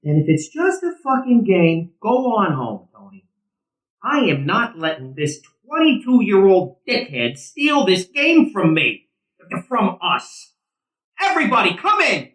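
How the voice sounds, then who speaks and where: An actor playing a hot-headed, combative middle-aged softball player who drinks beer, profane and sarcastic, in an audio drama. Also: male voice, high voice, wildly swooping pitch, measured pace, heavily acted. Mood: angry, combative. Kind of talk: naturalistic audio drama acting. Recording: roomy, echoing sound.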